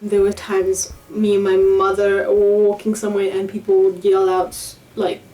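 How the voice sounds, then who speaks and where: A woman's voice: medium pitch, completely flat delivery, normal speed, dark tone, faint music behind, rough recording. A young woman from Zambia, a student, speaking candidly in a documentary voice-over, often saying "like". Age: young adult. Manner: candid, conversational, filler "like". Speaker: university student.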